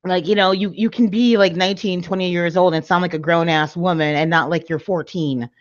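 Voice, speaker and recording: A woman's voice: lowish voice, steady tone, brisk, dry recording, dull audio. A woman co-hosting a live show, chatting casually and rambling with lots of "like" and "you know". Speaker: podcast co-host. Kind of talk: casual chat about audiobooks.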